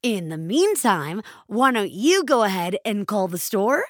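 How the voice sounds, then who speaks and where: A woman's voice: mid-range voice, big pitch swings, unhurried, no echo, clean studio sound. A woman voicing an over-perky, evasive young male digital bank teller, gushing and glib like a salesman.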